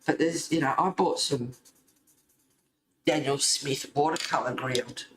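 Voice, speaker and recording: A man's voice: mid-range voice, unhurried, a bit bright, roomy sound, poor audio. A man chatting casually and informally while colouring, rambling and thinking aloud as he goes. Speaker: host of a colouring channel.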